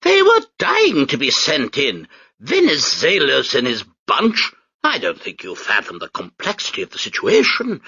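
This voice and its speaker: A man reading the part of a pompous, dismissive marine major in an audiobook, speaking in a high, haughty, clipped drawl. The tone is bright and breathy, and the recording sounds dull and muffled. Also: wildly swooping pitch, unhurried, dry recording, heavily acted. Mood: lofty.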